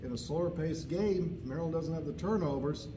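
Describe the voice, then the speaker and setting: A middle-aged man hosting a relaxed, casual sports chat, agreeing often, recorded on a distant camera in a large indoor hall. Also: a little high, a little fast. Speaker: host. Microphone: far camera. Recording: roomy echo, distant mic, noisy.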